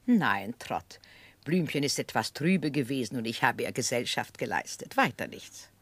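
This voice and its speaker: An actress playing an older aunt, kindly but firm, speaking husky, measured advice in a 1960s German radio drama. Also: female voice, rumbling low, sing-song, no room sound, heavily acted. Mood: calm, reassuring. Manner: measured, admonishing.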